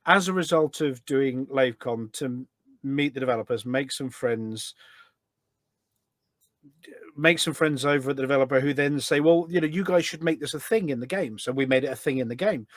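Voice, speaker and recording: A middle-aged man chatting casually as a podcast guest, telling stories in an animated way. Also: medium pitch, normal speed, dry recording, clean studio sound. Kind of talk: casual anecdotal chat.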